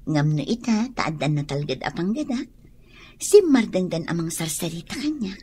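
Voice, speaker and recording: Breathy, guarded young mother. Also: fairly low pitch, big pitch swings, normal speed, darkish tone. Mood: tender, embarrassed. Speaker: radio drama actress. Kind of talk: emotional radio drama acting. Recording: noisy recording.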